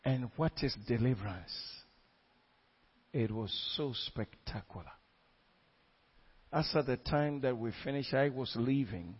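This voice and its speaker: A man's voice: medium pitch, husky. A man preaching a sermon, telling of a crusade with fervent, exclamatory delivery and frequent repetitions. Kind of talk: sermon testimony. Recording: dull lo-fi recording.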